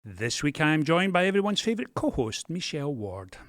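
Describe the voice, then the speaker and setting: Lively man hosting a church podcast. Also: on the high side, fairly quick, dry recording. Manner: animated, gently joking delivery. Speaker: pastor and podcast host. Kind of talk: church podcast announcements and interview.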